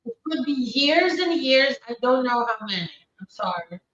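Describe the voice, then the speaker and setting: A woman from Ukraine hosts a live immigration Q&A, reading out viewer questions and answering them with quick, practical replies. Her voice sits a little high. The stream audio is dull and distant, with a roomy echo around her. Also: big pitch swings, fairly bright tone. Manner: brisk, matter-of-fact answers with frequent fillers. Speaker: immigration attorney hosting a live Q&A.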